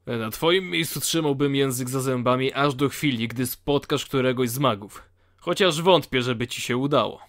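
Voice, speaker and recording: Guy playing a wry blunt prisoner in a fantasy audio drama, normal pitch, sardonic. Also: normal speed, a bit bright, lightly acted. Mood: blunt, matter-of-fact. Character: blunt, wry male convict in a penal colony.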